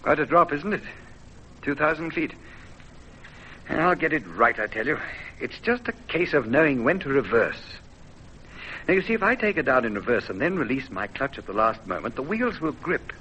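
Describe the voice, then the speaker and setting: An earnest young ex-soldier with big plans in an old radio drama. His insistent delivery swings widely in pitch, on a dull, faintly noisy vintage recording. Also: big pitch swings, moderate pace, sharp tone. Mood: stubborn. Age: young adult.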